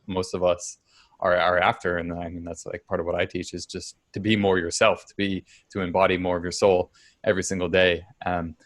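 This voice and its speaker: A man hosting an interview over a video call, enthusiastic and casual, often restarting his sentences. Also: sits low. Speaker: podcast host.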